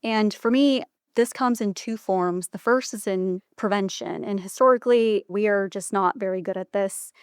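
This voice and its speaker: A woman's voice: mid-range voice, clean tone, no room sound, clean studio sound. A woman speaking as an interview guest, a physician giving articulate, organized explanations point by point. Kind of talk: interview answers, measured explanatory talk.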